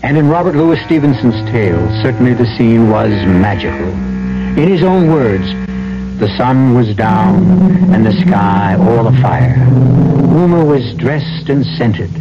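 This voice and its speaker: Vintage radio host: solemn scripted storytelling, mid register, muffled sound. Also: male voice, mid-range voice. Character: solemn storyteller host setting a romantic scene. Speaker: host introducing the radio play. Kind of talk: old-time radio scripted narration. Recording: muffled old radio broadcast, loud music behind.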